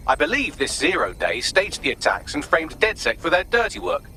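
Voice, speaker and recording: A man's voice: fairly high pitch, very animated, moderate pace, vocal fry, sharp tone, slight background noise, exaggerated voice. A man with a British accent voicing a sardonic, theatrical, chatty AI assistant in a video game. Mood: dry, informative. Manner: snarky, theatrical, wry.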